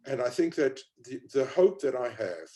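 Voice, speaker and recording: Man, South African accent, middle-aged, mellow tone, reflective, hesitant, panel talk. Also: mid-range voice, sing-song, normal speed. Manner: reflective, hesitant with many fillers. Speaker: panel speaker. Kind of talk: panel discussion.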